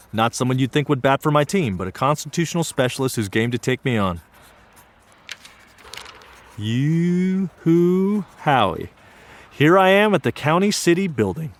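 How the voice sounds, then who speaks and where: An actor narrating as an earnest young student, telling his story in an earnest, wry and lively way. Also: mid-range voice, lightly acted. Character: earnest young Japanese American male student. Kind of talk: one-man stage play narration.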